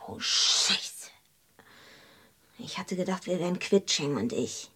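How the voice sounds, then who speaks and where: An actress playing a hostile, hard-bitten female wrestler in a radio drama, husky and curt, with a sneering manner. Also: lowish voice, lively intonation, takes it slowly, bright, forceful tone, in a whisper, full character voice. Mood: defensive. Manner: curt, sneering, foul-mouthed.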